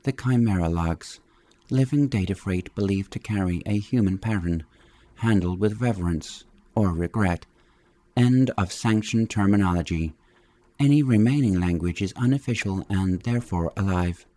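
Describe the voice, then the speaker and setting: A man's voice: low voice, moderate pace, warm tone. A man giving a scripted sci-fi audiobook reading in terse, clipped phrases. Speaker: audiobook reader.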